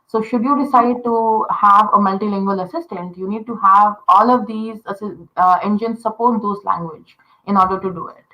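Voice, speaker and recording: Bright-voiced woman explaining tech. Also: mid-range voice, steady tone, normal speed, few pauses, low-quality recording. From India. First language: Telugu. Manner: patient explainer with many fillers and "you know". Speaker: voice interaction designer. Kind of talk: explaining in a casual interview.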